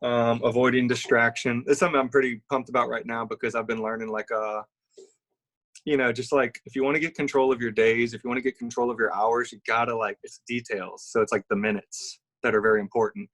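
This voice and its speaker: A young man from Louisiana, a musician, answering interview questions in a relaxed, affable, rambling way on a live stream. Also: mid-range voice, brisk. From Baton Rouge, Louisiana. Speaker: musician guest.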